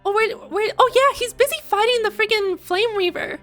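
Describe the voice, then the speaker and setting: A young adult woman giving casual, playful and expressive reaction commentary as a game streamer. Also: extremely high pitch, moderate pace, soft background music.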